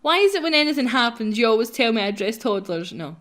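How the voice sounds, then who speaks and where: A woman's voice: fairly high pitch, big pitch swings, fast talker, fairly bright tone. A woman hosting a let's play, chatting casually and reading game text aloud in a chatty, giggly manner. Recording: clear background game noise.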